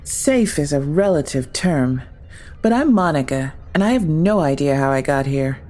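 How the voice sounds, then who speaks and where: A woman's voice: deep voice, animated, normal speed, mellow. A woman playing a bewildered, skeptical newcomer in an audio drama, questioning warily. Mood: bewildered, wary. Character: bewildered, skeptical woman newly stranded in strange place.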